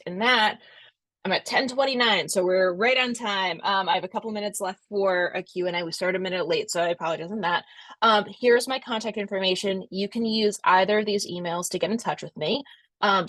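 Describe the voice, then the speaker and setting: A woman's voice: fairly low pitch, a bit bright, dry recording. A young adult woman hosting a video-call webinar and giving conversational advice in loose asides. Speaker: webinar host from a museum association. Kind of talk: casual instructional talk.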